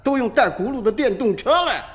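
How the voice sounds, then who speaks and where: An actor, shooing people off and mocking. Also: male voice, very high voice, expressive, brisk, faint music behind. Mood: brusque. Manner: brusque, mocking. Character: bossy village head, gruff and scornful. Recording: echoey.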